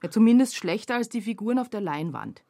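A woman's voice: medium pitch, lively intonation, quick pace, mellow, dry recording, studio quality, natural voice. An actress playing a sardonic, indignant woman observer in a scripted literary radio play. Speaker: performer in a literary audio piece. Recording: music behind the voice.

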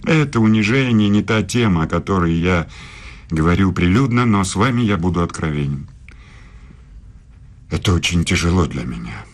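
An actor in a radio play voicing a proud, aggrieved older German philosopher, formal, measured and self-justifying. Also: male voice, deep voice. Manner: measured, formal, deliberate speech.

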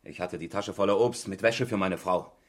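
A husky, indignant male suspect. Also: deep voice, normal speed. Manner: protesting, defensive. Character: indignant, evasive male engineer under police questioning. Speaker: actor. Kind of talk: 1970s East German radio crime drama acting.

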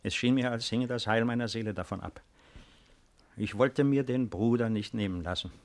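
An actor playing a proud, resigned baron awaiting arrest in a 1950s German radio play, speaking in a measured, formal, hesitant way. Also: male voice, mid-range voice, lightly acted. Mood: reflective.

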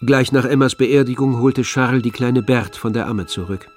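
A man's voice: medium pitch, moderate pace, a little mellow. A man narrates a literary radio drama in a middle-register voice. His delivery is scripted storytelling, with faint violin music underneath. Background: faint string music.

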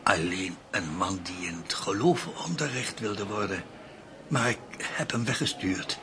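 Slow, halting shaken priest. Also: male voice, deep voice. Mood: hesitant. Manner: halting, unsure, careful. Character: shaken, hesitant priest recovering from a robbery attack. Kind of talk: radio drama acting. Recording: radio play with noticeable background noise.

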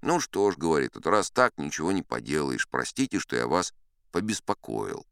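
A man plays a small, deferential Japanese fellow begging to be taken on as a sailor. He is humble yet insistent, with a lively, moving pitch, in a dry audiobook recording. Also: normal speed, creaky, smooth, dry recording, full character voice. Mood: polite, resigned. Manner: pleading, deferential. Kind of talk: audiobook character acting.

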